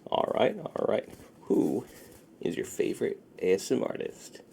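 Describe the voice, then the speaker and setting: A man doing a soft-spoken ASMR roleplay as a shady, friendly underground dealer, with slow folksy patter that often drops to a near-whisper. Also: big pitch swings, a little hiss or hum. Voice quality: gravelly, low, often breaking into near-whisper.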